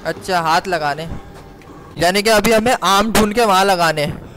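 A man's voice: high-pitched, moderate pace, music in the background. A man giving live gameplay commentary, exclaiming and talking to himself and his viewers. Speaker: game streamer.

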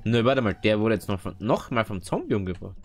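A low-voiced man comments casually on a video game he is playing. His delivery is animated and slangy, with English exclamations mixed in. Game music plays behind him. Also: a little fast. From Austria. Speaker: let's player. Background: game music and clicking.

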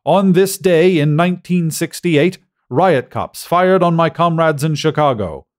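A man's voice: fairly high pitch, measured pace, voice pushed up, close to an everyday voice. A man voicing an aggrieved film-star robot with the fruity, theatrical manner of a stage actor, in a science fiction audiobook. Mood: aggrieved, proud.

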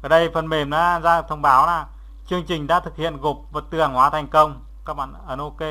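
A man narrating a step-by-step software tutorial as an instructor, in a halting, instructional way, repeating steps as he goes. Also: on the high side, flat delivery.

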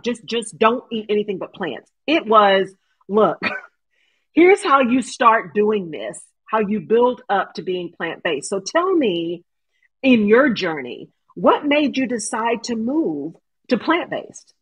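Breathy, animated woman hosting a video call. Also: mid-range voice, poor audio. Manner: animated, laughing, gestures with hands. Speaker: host. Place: home room.

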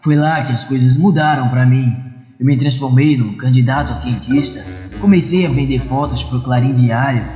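An earnest, troubled young man, voiced by a male dubber in a motion comic, introspective and mid-pitched. The sound is echoey, with music behind. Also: normal speed, darkish tone, muffled recording, lightly acted. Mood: wistful. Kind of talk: dubbed comic-book dialogue and inner monologue.

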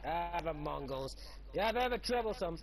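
A young man, chatting excitably with friends over a voice channel while gaming. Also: fairly high pitch, moderate pace, crowd noise, poor audio. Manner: excitable, switches between English and Arabic. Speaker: gamer and channel host. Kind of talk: casual voice chat while gaming.

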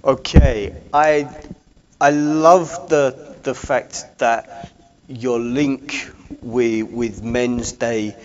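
A man in his forties hosting a talk show from a studio desk on a lapel mic, with slow, emphatic presenter delivery. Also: medium pitch, steady tone, lo-fi sound. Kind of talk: broadcast interview hosting.

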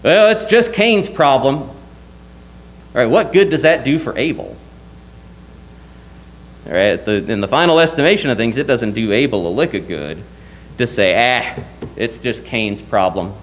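Animated young man preaching from a pulpit. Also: mid-range voice, unhurried, pauses often, slight background noise, low-quality recording. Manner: earnest, emphatic, rhetorical questions. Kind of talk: sermon. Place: church sanctuary at a pulpit. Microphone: lectern mic, wide camera.

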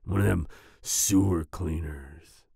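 A man playing a volatile but soft-hearted werewolf boyfriend in an ASMR roleplay, growling and raspy, with sighs and groans. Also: low-pitched, no room sound, studio quality, full character voice. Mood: sulky, resigned. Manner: snarling outbursts, sighs and groans, slow brooding delivery. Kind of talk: ASMR roleplay audio drama.

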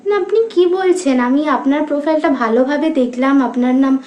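A woman's voice: high voice, expressive, quick pace, warm tone, echoey room, lo-fi sound. A young woman with a West Bengal Bengali accent, talking as a prank caller in a polite, persistent, persuasive manner. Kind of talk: staged phone prank conversation.

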